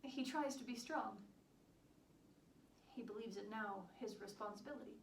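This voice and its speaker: A woman's voice: fairly high pitch, normal speed, creaky. A woman performing a theatrical period monologue as a devoted, proud country wife, with formal old-fashioned diction. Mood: tender, burdened. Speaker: stage actress performing monologues. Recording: echoey room, distant mic, background noise.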